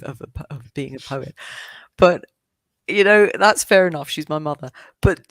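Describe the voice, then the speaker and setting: A woman's voice: on the low side, no echo. Enthusiastic woman, dry close voice. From Switzerland. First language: English. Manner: enthusiastic, rambling with restarts. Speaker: podcast guest. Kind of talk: casual interview chat.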